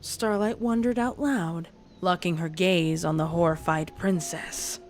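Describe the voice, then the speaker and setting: A woman's voice: fairly low pitch, steady tone, a little slow. A woman reading scripted fan fiction as a dramatic story narrator. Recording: narration over soft music.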